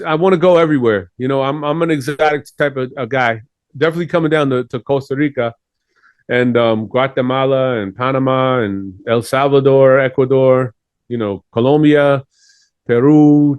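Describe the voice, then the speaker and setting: A man's voice: medium pitch, clear, resonant tone, no echo, clean studio sound. A man from Chile, talking earnestly and at length as a guest in a casual interview. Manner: earnest, rambling.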